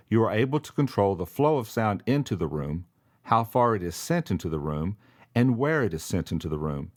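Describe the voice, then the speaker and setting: Casting: male narrator, lowish voice, smooth scripted product explainer read. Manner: explanatory, even and polished.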